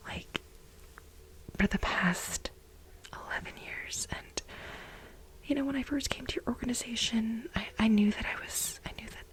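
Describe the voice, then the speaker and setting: A woman playing a clingy, unhinged millennial office assistant in a breathy, halting, soft-spoken ASMR role play. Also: a little high, lightly acted, low-quality recording. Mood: earnest, intimate. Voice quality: breathy, whispery, creaky. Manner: halting, dramatic, self-justifying.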